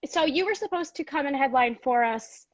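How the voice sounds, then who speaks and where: A young adult woman from Peru hosting a live video-call interview in a fairly high, dry voice. She sounds bubbly and chatty, giggling easily between questions. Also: animated, normal speed, no echo. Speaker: comedy club interviewer. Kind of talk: casual live-stream interview.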